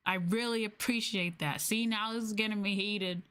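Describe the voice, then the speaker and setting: A young adult woman chatting casually on a livestream meant as soft ASMR, in a conversational, apologetic venting way. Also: medium pitch, steady tone, normal speed, smooth. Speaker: streamer, ASMR VTuber.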